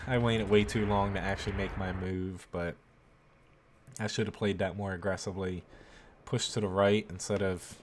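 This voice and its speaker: A man's voice: low voice, moderate pace. A young man with a cold streaming a tank game, talking casually with plenty of ums. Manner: relaxed, frequent ums and uhs. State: has a cold, voice a little off. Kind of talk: casual gameplay commentary. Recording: game sounds loud in background. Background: gunfire and tank engines from the game.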